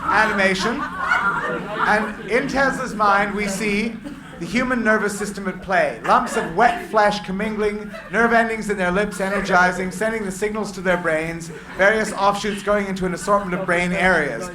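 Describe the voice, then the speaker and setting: High-pitched man narrating in an echoey room. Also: fairly even intonation, moderate pace. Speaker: narrator of stage directions. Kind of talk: dramatic live script reading. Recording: echoey room with clear background noise.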